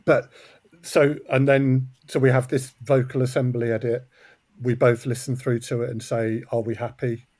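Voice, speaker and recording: A man with a mid-pitched voice, recorded close and dry. He talks as an audio producer and interview guest, explaining his process conversationally. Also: flat delivery, moderate pace, darkish tone, dry recording.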